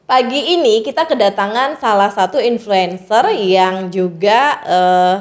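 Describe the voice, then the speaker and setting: A young woman hosting a casual interview, with frequent soft murmurs of agreement. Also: mid-range voice, measured pace. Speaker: interview host.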